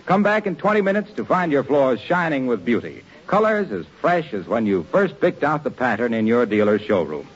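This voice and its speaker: A man's voice: mid-range voice, big pitch swings, moderate pace, hardly any pauses, slightly rough. A man working as a 1940s radio announcer, delivering polished, emphatic sales copy. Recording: dull 1940s radio recording, faint hiss.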